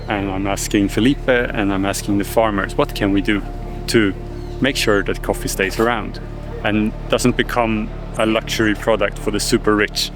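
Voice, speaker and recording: Man vlogging over music. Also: on the low side. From Sweden. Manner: enthusiastic, reflective. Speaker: filmmaker. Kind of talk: vlog narration. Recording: music playing underneath.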